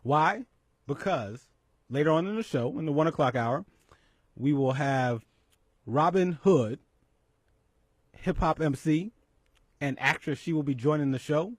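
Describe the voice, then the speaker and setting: A middle-aged man hosts a radio talk show from a studio, upbeat and chatty, laughing easily and tripping over his words. His voice sits a little high for a man, close and dry on the mic. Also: lively intonation, unhurried, pauses often, dry recording. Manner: upbeat, laughs a lot, stumbles and repeats words.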